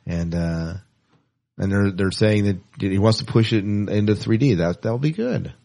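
A middle-aged man hosting a podcast, talking casually and with clear opinions about movie news. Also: on the low side, moderate pace, warm tone. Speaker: podcast host.

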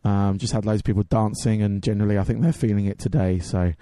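A young man with a hoarse voice hosts his show in a loose, rambling way, filling gaps with ums. His voice sits low and mellow. The sound is dry and close. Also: steady tone, fast, no room sound. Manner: rambling, many ums and restarts. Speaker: radio and podcast host, DJ. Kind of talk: casual chat.

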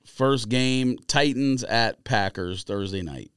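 A man's voice: mid-range voice, slow, smooth, no room sound, studio quality. A man co-hosting a casual sports podcast, giving analysis in a blunt, insistent, argumentative way. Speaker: podcast co-host.

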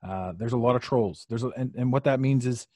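A man hosting a live podcast, talking casually and rambling, repeating phrases and filling pauses with "uh.". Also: medium pitch, a little mellow, dry recording, studio quality. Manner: rambling, repeats himself, many fillers. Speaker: podcast host. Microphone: podcast mic on a live stream.

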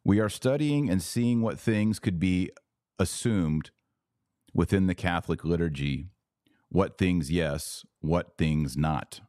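A man's voice: low-pitched, slow, dark tone, no room sound, clean studio sound. A man hosting a podcast, giving opinionated commentary with emphatic, repeated phrases. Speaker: podcast host.

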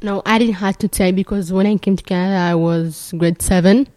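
A teenage girl answering questions in a plain, halting way. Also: mid-range voice, fairly even intonation, darkish tone. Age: teens. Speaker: interviewee.

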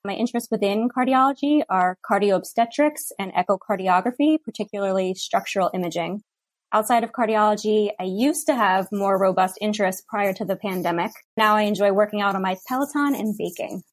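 American lady in her 30s, normal pitch, casual doctor podcast chat, dry sound. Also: a little fast, no room sound. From Philadelphia, United States. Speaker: cardiology fellow, podcast guest.

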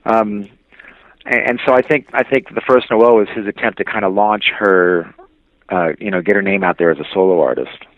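A man reminiscing casually as an interview guest, speaking in a hesitant, halting way. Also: fairly low pitch, no room sound, phone-quality sound. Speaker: interview guest, music label veteran.

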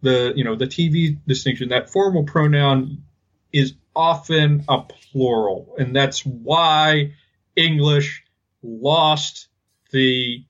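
A man co-hosting a podcast, talking casually and haltingly as he explains things. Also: mid-range voice, fairly bright tone, highs cut off. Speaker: podcast co-host. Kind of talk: casual linguistics discussion.

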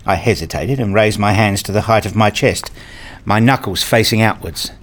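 A man plays a weary male academic telling his own story in a radio play. The voice is on the low side. He is dry and sardonic, easily irritated by routine. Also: normal speed, lightly acted. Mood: sheepish. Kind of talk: scripted first-person narration, radio play.

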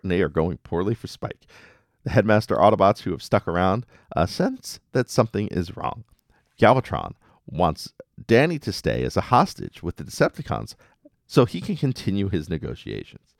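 Low-voiced, animated man recapping episodes. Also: gravelly, no echo, clean studio sound. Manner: wry, jokey asides. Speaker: podcast host. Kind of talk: casual episode recap commentary.

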